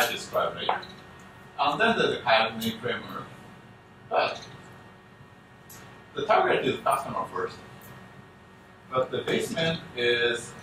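Man in an echoey hall. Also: medium pitch. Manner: halting non-native English, frequent restarts. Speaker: conference speaker. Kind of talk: conference keynote talk. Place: conference room with projector screen. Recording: echoey room, distant mic, faint hum.

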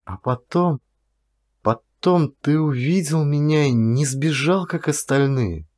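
A man's voice: medium pitch, darkish tone, voice pushed up. A man voicing an insecure young woman in love, emotional and confessional, in an audiobook. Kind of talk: audiobook character dialogue. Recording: music under the voice.

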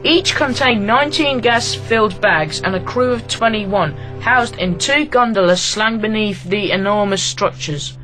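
A young man from Latvia reading aloud a school heritage project, careful and a little halting, with faint music underneath. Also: high voice, pushed and bright, poor audio. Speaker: student presenter.

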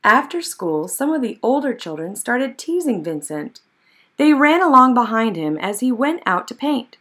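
A woman narrating a children's picture book in an expressive, measured storytelling manner. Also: a little high. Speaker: storybook reader.

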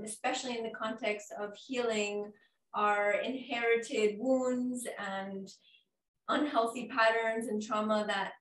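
A woman's voice: medium pitch, slight background noise. Young woman, distant and echoey. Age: 20s. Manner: warm, slightly hesitant, frequent ums. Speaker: panel guest. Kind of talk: casual conversation. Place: home living room.

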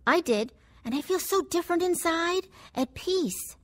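An actress playing a gentle, earnest born-again daughter sharing her faith in a radio drama. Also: very high-pitched, animated, unhurried, loud music behind the voice. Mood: earnest, assured.

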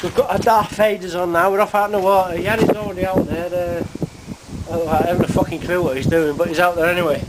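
A man's voice: high voice, steady tone, quick pace. A middle-aged man chatting casually while fishing outdoors, joking, swearing and laughing often, recorded on a handheld camera mic. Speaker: angler filming a fishing vlog. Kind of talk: casual chat. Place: lakeside outdoors. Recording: loud outdoor wind noise.

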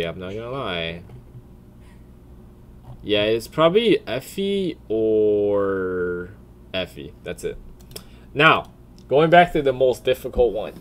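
A man giving casual off-the-cuff commentary in a crude, profane, blunt way, often deliberating out loud. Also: lowish voice. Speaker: video creator.

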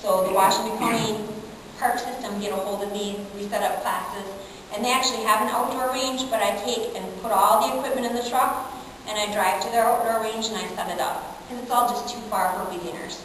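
An American woman speaking as an instructor in an informal seminar, with an animated, emphatic manner, often checking in with her listeners. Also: on the high side, a little fast. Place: conference meeting room, indoors. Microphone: far camera in the audience. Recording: echoey room from far off, background noise.

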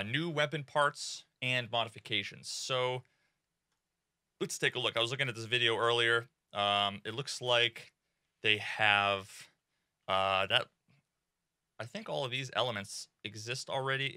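A young man in casual gaming commentary from a small home room, excitable and swearing freely, with many fillers. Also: medium pitch, unhurried, smooth, dry recording, studio quality. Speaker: gaming streamer.

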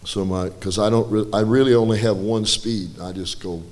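An older man, a guest musician, telling a relaxed, rambling story with self-deprecating asides at a church, his voice slightly hoarse, on a handheld mic. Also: on the low side, moderate pace. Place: church stage, large indoor room. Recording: reverberant church hall with faint music.

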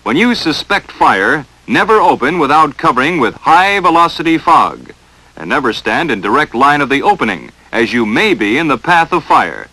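A man's voice: fairly high pitch, big pitch swings, moderate pace, bright, forceful tone, no room sound, low-quality recording. An American man narrating a 1940s instructional film in a stern, emphatic, dramatic coaching manner, on a vintage film soundtrack.

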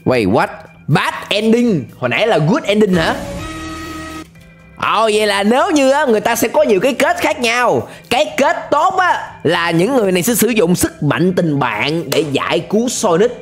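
A young man giving excited, exclamatory reaction commentary as a video host, dragging out words for drama, close to the microphone. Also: high-pitched, normal speed. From Vietnam. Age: young adult. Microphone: close desk microphone. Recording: music behind the voice.

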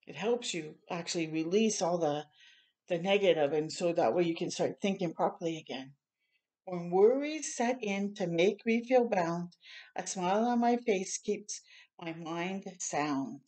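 A woman's voice: lowish voice, animated, unhurried, a little mellow. Older woman reading a picture book aloud. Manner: encouraging, chatty asides to young listeners. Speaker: children's storytime reader. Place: small room at home, indoors.

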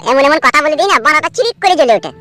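Very high, rapid-fire grumpy cartoon husband. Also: male voice, lively intonation, pushed and bright, faint music behind, heavily acted. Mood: irritated, sarcastic. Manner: sarcastic, crude, scolding. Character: grumpy, crude, sarcastic village husband in a comedy cartoon. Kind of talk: comedy cartoon dubbing.

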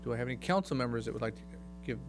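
A middle-aged American man runs a formal council meeting from the dais. He talks quickly at a medium pitch in a businesslike way, partly reading procedure aloud. A loud hum sits behind him. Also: animated. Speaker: mayor chairing a council meeting. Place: council chamber. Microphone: dais microphone.

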